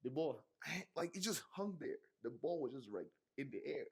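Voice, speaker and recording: A young man from Nigeria with a Yoruba accent, telling a personal story to camera in a slangy, animated way with many fillers. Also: mid-range voice, moderate pace, slightly rough. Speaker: vlogger. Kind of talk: casual monologue to camera.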